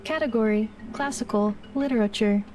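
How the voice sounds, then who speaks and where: A woman's voice: a little high, moderate pace, recorded from a distance, heavy background noise, close to an everyday voice. A woman voicing a polite, literal-minded female robot maid in a video game, making clipped, formal, emotionless announcements. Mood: detached, analytical.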